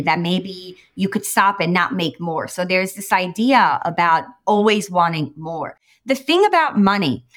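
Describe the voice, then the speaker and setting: Woman in her forties from Romania, therapist guest; animated, emphatic, checks in with "right?", mid-pitched voice. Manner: animated, emphatic, tosses in "right?" and quoted asides. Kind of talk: podcast interview.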